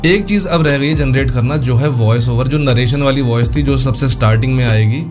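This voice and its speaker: A male tutorial host with a medium-pitched voice explains software steps in an informal way. He thinks aloud as he demonstrates, and music is clearly audible behind his speech. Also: brisk. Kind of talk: casual step-by-step software tutorial.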